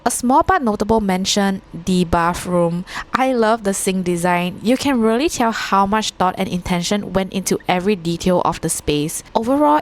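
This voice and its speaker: A woman from Singapore giving an upbeat, scripted voice-over narration for her vlog. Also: mid-range voice. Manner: upbeat, enthusiastic. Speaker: vlogger.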